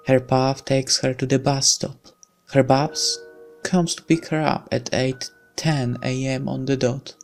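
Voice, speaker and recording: Slow gravelly let's player reading a story game out loud, kinda hushed n dramatic, music under it. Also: mid-range voice. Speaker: let's player narrating a visual novel. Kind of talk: slow dramatic read-aloud of game text with casual asides.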